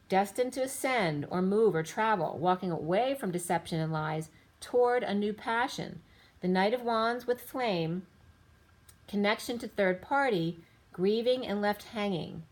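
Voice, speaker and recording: A woman giving a rambling tarot reading, listing cards in loose fragments, her voice going a little hoarse. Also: medium pitch. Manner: rambling, lists card after card in loose fragments. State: slightly losing her voice. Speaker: tarot reader.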